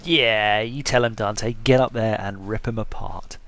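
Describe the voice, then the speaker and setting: A man's voice: fairly low pitch, fairly even intonation, measured pace, creaky, a bit bright, dry recording, soft background music. A man giving casual playthrough commentary with wry, self-deprecating humour. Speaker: gaming video host.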